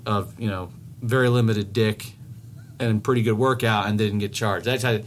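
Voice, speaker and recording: A man co-hosting a podcast in casual chat, rambling with many restarts, swearing freely and getting animated as he tells stories. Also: lowish voice, moderate pace, slight background noise. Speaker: podcast co-host.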